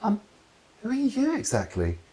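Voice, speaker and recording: A man's voice: high voice, wildly swooping pitch, normal speed, warm tone, pitched above the performer's own voice. A man voicing a baffled, blunt young orphan girl from a storybook, hesitant. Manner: hesitant, puzzled.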